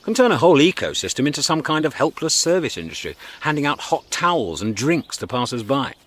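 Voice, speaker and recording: An actor playing a brash, excitable galactic hitchhiker, sardonic and emphatic, in a radio comedy drama. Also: male voice, mid-range voice, brisk. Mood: matter-of-fact.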